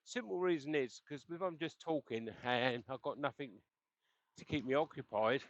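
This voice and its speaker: A man's voice: medium pitch, normal speed. A man chatting casually while cycling outdoors, rambling and chuckling, recorded through a helmet headset mic. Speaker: cycling vlogger. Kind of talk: casual chat while riding. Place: outdoors on a bike on the road. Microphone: helmet intercom headset mic.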